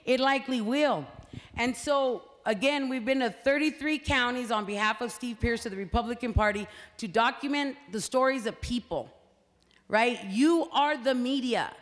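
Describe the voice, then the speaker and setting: A woman's voice: fairly high pitch, moderate pace. Woman speaking in an echoey hall. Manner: conversational, frequent fillers and "right?" tags. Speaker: party representative speaking at a community meeting. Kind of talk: public speech to a crowd. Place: community meeting hall. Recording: hall reverb from a meeting room.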